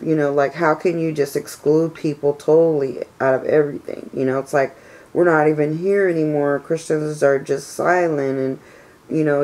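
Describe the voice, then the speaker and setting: A low, flat-voiced woman in her thirties, raspy and stuffed up from a cold. She rambles and vents in a casual vlog, circling back on her complaints with plenty of "you know"s. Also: rough recording. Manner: frustrated, venting complaints. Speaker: vlogger. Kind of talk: casual personal vlog rant.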